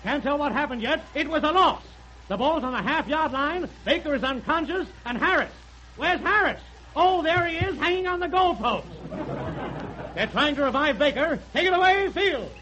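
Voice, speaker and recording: An excitable football announcer from 1930s radio comedy. His voice rides very high and swings widely as he calls plays with mock-dramatic urgency. The old live broadcast is clearly noisy. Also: moderate pace, muffled recording. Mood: excited, urgent.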